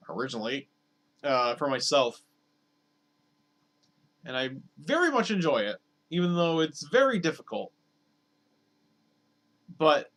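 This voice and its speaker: A man streaming games, talking casually and rambling with lots of fillers, his voice slightly hoarse. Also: medium pitch, a bit bright. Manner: rambling, filler-heavy, repeats words. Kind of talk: casual live-stream chat over gameplay.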